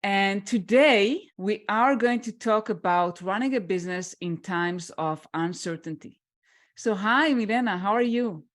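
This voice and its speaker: A woman hosts an interview podcast, welcoming her guest with gracious thanks and lavish praise. She then moves into her questions in a friendly, conversational way. Her pitch is ordinary for a woman. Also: a little slow. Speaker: podcast host.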